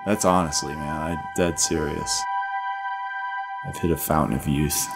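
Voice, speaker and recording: Low-voiced middle-aged man over music. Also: steady tone, unhurried, vocal fry. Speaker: ski guide. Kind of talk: reflective documentary interview.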